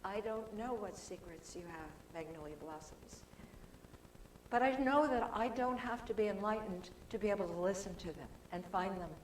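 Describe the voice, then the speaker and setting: A soft-spoken woman giving a chatty, informal talk as a guest speaker at a church service, with plenty of restarts. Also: mid-range voice, moderate pace. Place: church sanctuary. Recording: echoey hall with faint room noise.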